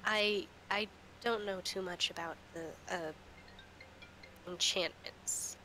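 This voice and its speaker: A woman acting as game master on a tabletop stream, improvising character dialogue and narration in halting, drawn-out phrases. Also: lowish voice, fairly even intonation, bright, forceful tone, a little hiss or hum, poor audio.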